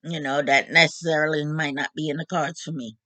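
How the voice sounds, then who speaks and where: A woman in her late fifties talking openly to her audience in a casual, animated, joking monologue. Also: sits low, brisk, no echo. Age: 50s. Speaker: vlog host.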